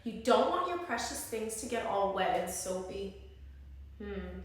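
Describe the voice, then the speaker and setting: A woman teacher reading a story aloud to young children, expressively, pausing to ask them questions. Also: medium pitch, sing-song. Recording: very echoey room, distant mic, faint noise.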